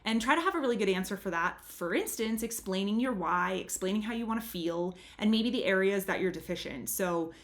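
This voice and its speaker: A woman's voice: mid-range voice, animated, brisk, hardly any pauses. Chatty earnest American woman tutor. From United States. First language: English. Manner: chatty and earnest, leans on "really" and "super". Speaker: language tutor. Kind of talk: casual advice talk to camera.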